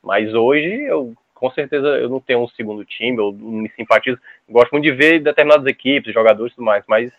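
Man from on a tinny line. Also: medium pitch. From Ceará, Brazil. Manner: halting, many restarts and repeated words. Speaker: podcast guest. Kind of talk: casual podcast chat. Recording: dry tinny phone-like line.

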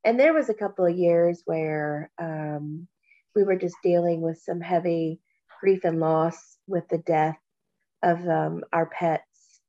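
Slow-speaking woman with a stuffy nose. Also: on the low side, steady tone, dull audio. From Kentucky. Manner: slow, warm, reflective, with many pauses and fillers. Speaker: podcast co-host. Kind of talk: casual podcast chat.

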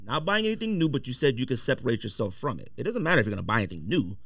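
A man's voice: medium pitch, sing-song, very fast, fairly bright tone, no room sound. Male podcast co-host, mid-range voice, dry studio sound, casual, insistent debater.

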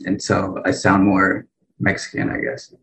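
A man's voice: low voice, completely flat delivery, unhurried, a bit bright. A young man in his twenties, born in Peru and raised in Texas, answering interview questions in a plain, matter-of-fact way over a video call. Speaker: engineering student guest. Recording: faint background noise.

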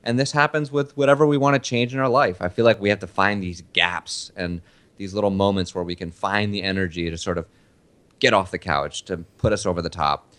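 Very deep-voiced easygoing male podcast host. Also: fairly even intonation, brisk, a bit bright, smooth. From Argentina. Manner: easygoing, teasing, tells stories at length. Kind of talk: casual chat.

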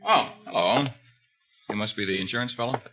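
A man's voice: mid-range voice, quick pace, background noise, lightly acted. A man playing a glib, affable young fiancé in a 1950s radio drama, breezy and polite. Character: smooth-talking, affable young fiancé hiding something.

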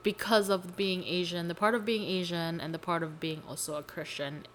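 A woman's voice: lowish voice, steady tone, normal speed, a little hiss or hum. A young woman co-hosting a podcast in casual conversation, with an informal, playful, self-deprecating way of talking. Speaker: podcast co-host. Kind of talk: casual chat.

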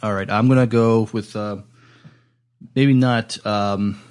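A man co-hosting a podcast, chatting casually in a halting, hesitant way. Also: fairly low pitch, fairly even intonation, a little mellow. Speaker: podcast co-host. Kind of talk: casual chat.

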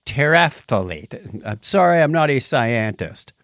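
Animated male podcast host, dry close sound. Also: mid-range voice, measured pace, no echo. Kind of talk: casual news banter on a live-streamed podcast.

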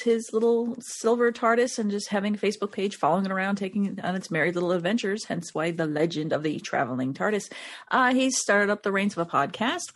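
A woman guest on a casual podcast panel, chatty and loose, with frequent ums. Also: fairly high pitch. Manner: chatty, uses fillers like um and uh.

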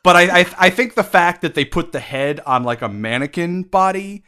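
A man's voice: high-pitched, expressive, normal speed, pushed and bright, clean tone. Stuffy-nosed man, rambling restarts. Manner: hesitant, many restarts and likes. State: stuffed-up nose. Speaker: podcast co-host. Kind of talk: casual movie review chat.

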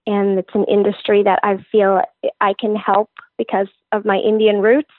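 A woman's voice: mid-range voice, little pitch movement, moderate pace, dry recording. A woman who works as a fitness trainer and author, earnestly and enthusiastically explaining her work in an interview. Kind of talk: interview answers. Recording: tinny phone-like line, dry.

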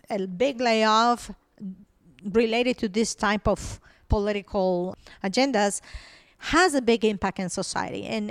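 Woman guest from Colombia, persuasive and earnest; clear voice, dry close sound. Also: a little high, no echo. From Colombia, raised in Venezuela. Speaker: podcast guest, nonprofit founder. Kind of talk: conversational interview.

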